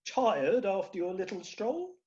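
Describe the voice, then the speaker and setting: A woman's voice: mid-range voice, sing-song, unhurried, darkish tone, highs cut off, a little hiss or hum. A woman playing a determined, drily sympathetic schemer in a comic Victorian farce, with dry understatement. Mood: drily polite. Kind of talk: comic stage farce acting.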